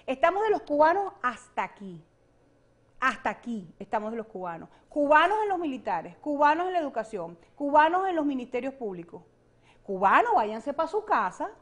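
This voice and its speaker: Impassioned high-voiced Venezuelan woman. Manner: impassioned, emphatic, repetitive. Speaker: guest, political analyst. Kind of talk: interview. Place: television studio set.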